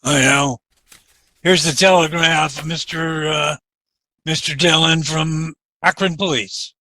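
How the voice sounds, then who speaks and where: A man's voice: a little high, steady tone, slow pace, bright, forceful tone, no room sound, heavily acted. An actor playing a loyal, indignant young lawman's assistant with an American accent and a plain, earnest way of speaking in a Western radio drama. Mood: sullen. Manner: plain-spoken, stammering earnestness.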